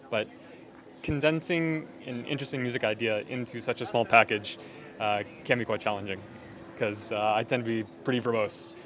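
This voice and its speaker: A man who made the sound for a short film, speaking in a measured, polite way. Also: medium pitch. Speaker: sound and music maker. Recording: crowd noise behind.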